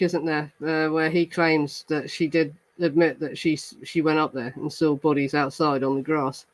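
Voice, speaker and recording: A man with a somewhat high voice hosts a casual true crime talk, thinking aloud with frequent fillers, restarts and trailing questions. The sound is dry and close, with no room around it. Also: no echo, rough recording. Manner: reads police report passages aloud. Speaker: true crime podcast host. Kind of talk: casual chat reacting to live comments.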